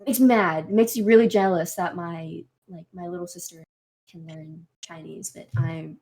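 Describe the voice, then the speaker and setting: A young woman co-hosting a podcast, talking in a casual, animated way and swearing freely. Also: lowish voice, normal speed. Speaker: podcast co-host. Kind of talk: casual chat.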